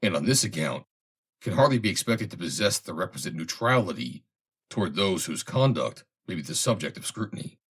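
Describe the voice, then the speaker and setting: A man reading a formal historical essay aloud in a measured, deliberate way. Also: deep voice, sing-song, a bit bright, husky. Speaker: reader, narrator.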